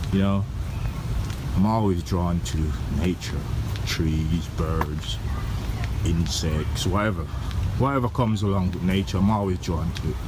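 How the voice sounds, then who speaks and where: A man from Jamaica, chatting in a loose, rambling way as he films a walk through a city park on a handheld camera. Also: on the low side. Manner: rambling, relaxed, lots of fillers. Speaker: vlogger. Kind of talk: casual walk-and-talk vlog. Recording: outdoor camera audio with loud background noise.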